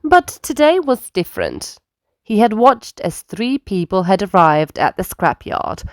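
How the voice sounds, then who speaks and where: A woman storyteller reading a children's book aloud with expressive, dramatic emphasis. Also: medium pitch, measured pace, no echo, studio quality. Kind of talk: children's read-aloud narration.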